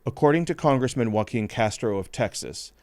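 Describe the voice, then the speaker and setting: A male podcast host reading a scripted news report in a grave, measured way. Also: mid-range voice, lively intonation, darkish tone, no room sound.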